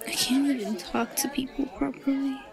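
A woman's voice: high-pitched, steady tone, normal speed, vocal fry, a crowd in the background. A woman playing a shy, bullied young student with an obsessive streak, in a hesitant, stammering delivery for a roleplay audio drama. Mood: lovesick, self-loathing.

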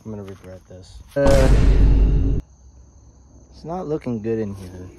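A man casually vlogging as he explores old airplanes in a rural field, excited, swearing and talking to his viewers on a phone camera. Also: lowish voice, takes it slowly. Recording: phone audio outdoors with insect noise. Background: insects and crickets outdoors.

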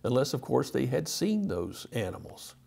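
A man's voice: mid-range voice, sing-song, normal speed, gravelly, warm tone. A man, presenting a lecture as a teacher, emphatic and given to rhetorical questions. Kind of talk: illustrated lecture to camera. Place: indoor studio.

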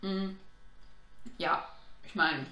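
A woman's voice: fairly low pitch, extremely slow, sharp tone, muffled recording. A woman in her twenties hosting a casual unboxing to camera, opinionated, blunt and slangy. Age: 20s. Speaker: host of an unboxing channel. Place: small room indoors, on a sofa. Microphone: camera mic a short distance away. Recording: roomy echo, faint background noise.

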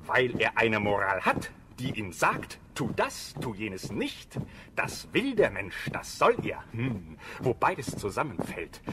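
An actor playing a pompous, lecturing moralist among soldiers in a German radio drama. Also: male voice, mid-range voice. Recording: loud background noise, clicks.

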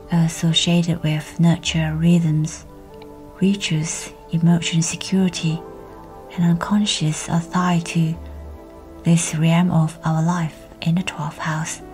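A woman leading a scripted guided meditation in a hushed, breathy, near-whispered voice, soothing and measured. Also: deep voice, low-quality recording.

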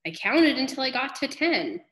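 A woman's voice: high-pitched, big pitch swings, moderate pace, fairly bright tone, voice pushed up. A woman voicing a stubborn young schoolboy in a storybook, protesting and pleading to get to recess. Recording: echoey room.

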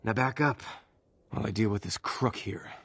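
An actor playing a gruff, burly young ice seller in an animated film, blunt and terse with an American accent. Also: male voice, on the low side, moderate pace, background noise, close to an everyday voice. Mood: irritated.

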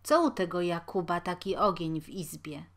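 A woman's voice: mid-range voice, expressive, unhurried, close to an everyday voice. A woman voicing an elderly male landlord who mutters and grumbles indignantly.

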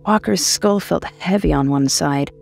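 A woman's voice: deep voice, unhurried, creaky, darkish tone, soft background music. A woman reading a horror short story as scripted narration.

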